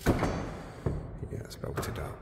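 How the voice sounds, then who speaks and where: A man filming a casual walking tour of a church, chatting politely and thinking aloud, sometimes dropping to a hush. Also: very slow, very noisy. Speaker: vlogger giving a walking tour. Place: large church interior and crypt.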